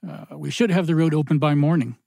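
A man's voice: on the high side, fairly even intonation, fast, creaky, mellow, no echo, clean studio sound. A man reading a polite, matter-of-fact police officer at a live table read of a TV drama. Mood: official.

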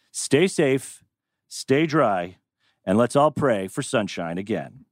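A man's voice: medium pitch, no room sound, clean studio sound. A man hosting a live table read and narrating stage directions, tossing in wry, joking asides.

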